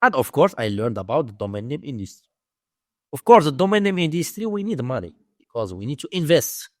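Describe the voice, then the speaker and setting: A man's voice: medium pitch, very animated, dry recording. Moroccan-accented man in a dry-sounding interview. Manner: halting, repetitive non-native English phrasing. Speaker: interview guest, domain investing teacher. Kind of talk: conversational interview storytelling.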